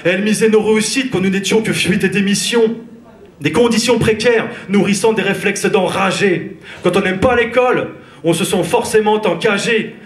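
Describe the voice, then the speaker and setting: A man's voice: sits high, moderate pace. A man from France performing slam poetry on a theatre stage, with a rhythmic, intense spoken-word delivery. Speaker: slam poet. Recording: roomy theatre echo, live.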